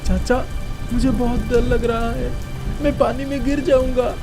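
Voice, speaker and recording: High-pitched frightened little boy. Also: little pitch movement, unhurried, gravelly, music in the background, full character voice. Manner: pleading, fearful. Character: frightened young village boy calling for uncle. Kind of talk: animated moral story dialogue.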